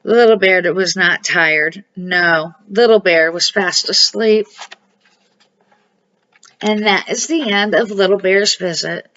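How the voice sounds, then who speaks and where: An older woman reads a picture book aloud for children. Her voice is bright, with homespun phrasing and dramatic pauses, captured on a slightly muffled home recording. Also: mid-range voice. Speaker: storybook reader and series host. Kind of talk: storybook narration for children.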